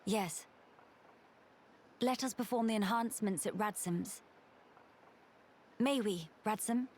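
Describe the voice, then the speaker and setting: A woman playing a composed young crown princess in a video game, speaking with formal, measured dignity. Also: mid-range voice, a little mellow, a little hiss or hum, natural voice. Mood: calm, gracious. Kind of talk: video game cutscene acting.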